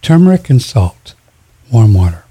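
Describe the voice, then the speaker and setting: Dark husky call-in host. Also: male voice, medium pitch, normal speed. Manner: relaxed, friendly, gives home remedies. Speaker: radio show host. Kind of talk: call-in radio talk.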